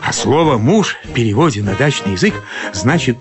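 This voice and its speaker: An actor playing a harried, exasperated husband in a comic radio monologue, complaining with agitated, theatrical emphasis. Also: male voice, mid-range voice, expressive, lightly acted. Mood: indignant, self-pitying. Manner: agitated, complaining, emphatic swoops and drawn-out words. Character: exasperated harried husband, a summer cottage commuter. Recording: studio radio play with music behind.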